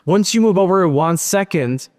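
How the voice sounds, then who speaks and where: A man in his thirties giving a lively, joking tech conference talk from a large hall stage. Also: high-pitched, moderate pace. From Pakistan and Switzerland. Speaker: conference speaker. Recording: hall reverb.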